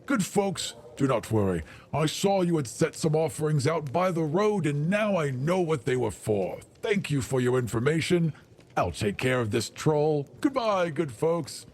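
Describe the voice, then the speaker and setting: A man's voice: fairly high pitch, very animated, measured pace, exaggerated voice. A man voicing a noble, earnest giant warrior with a formal, declamatory manner in a tabletop game. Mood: reassuring.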